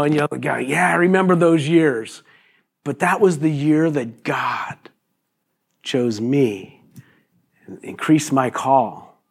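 A man in his fifties, a pastor, preaching a sermon in a loose, conversational way, his voice a little raspy today, with many fillers and restarts. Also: a little high. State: raspy voice this morning.

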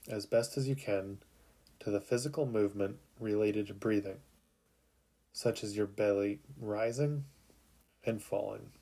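A man narrating a guided sleep meditation in a calm, soothing, unhurried way with long pauses. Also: lowish voice.

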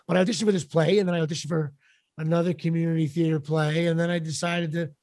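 A middle-aged man with a raspy voice, chatting casually as an interview guest in a wry, self-deprecating, halting way. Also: on the high side, steady tone, darkish tone, no echo, studio quality. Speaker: podcast guest, actor and comedian.

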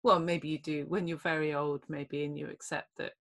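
A woman's voice: deep voice, little pitch movement, a little fast, vocal fry, a bit bright. A middle-aged woman hosting a casual podcast chat, thoughtful and easy to laugh, often restarting her sentences. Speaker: podcast host. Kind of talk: casual conversation.

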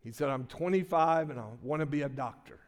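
An older male pastor preaching in a church sanctuary, his slightly high voice ringing with a long reverb. He tells stories in a folksy, conversational way, stumbling and restarting often. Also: fairly quick. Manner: folksy storytelling with many restarts. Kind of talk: sermon with personal story.

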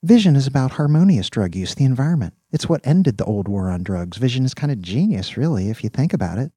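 A man's voice: medium pitch, very animated, fast talker, warm tone, husky, no room sound, pitched above the performer's own voice. A man voicing an eager, naive young male college student in an audiobook, chatting in casual slang. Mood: eager, chatty. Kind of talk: audiobook character dialogue.

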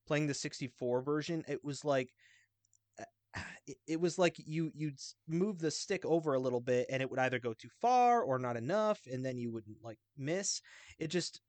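A man's voice: fairly high pitch, animated, normal speed, no echo. A man on a podcast panel, talking informally in a loose, rambling way. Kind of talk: casual chat.

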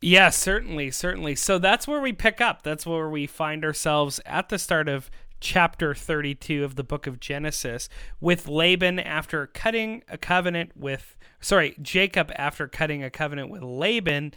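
A man's voice: a little high, normal speed, bright, forceful tone, clear, resonant tone, no echo, clean studio sound. A man hosting a podcast, chatting casually in an upbeat, talkative way. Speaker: podcast host. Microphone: close microphone on a remote call.

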